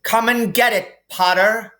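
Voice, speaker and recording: A man's voice: high-pitched, fairly even intonation, a little slow, sharp tone, pitched above the performer's own voice. A man voicing a sneering, mocking schoolboy bully who goads others, in a storybook reading. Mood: taunting. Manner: mocking, goading.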